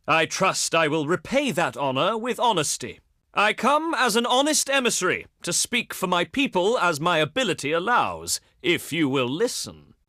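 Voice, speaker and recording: Bright-voiced ceremonious ancient Greek envoy. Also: male voice, high voice, no echo, studio quality, heavily acted. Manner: declamatory, ceremonious, deliberate phrasing. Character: ancient Greek male envoy, formal, honey-tongued and pious. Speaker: voice actor in a strategy game. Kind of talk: theatrical scripted game dialogue.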